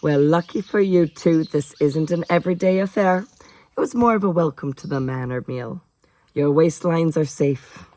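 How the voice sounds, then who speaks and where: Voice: mid-range voice, moderate pace, warm tone, no room sound, close to an everyday voice. Narrator voicing a kindly, motherly housekeeper, fussing fondly. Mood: fondly teasing. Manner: affectionate, fussing, polite. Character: kindly motherly housekeeper, gently scolding, fond.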